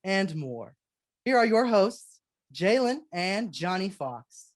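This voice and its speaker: A theatrical female radio announcer of the 1940s played by a woman, with a mid-pitched voice. She plays the inquisitive co-host, reading scripted lines with stagey flair. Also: sing-song, slow. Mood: theatrical, curious.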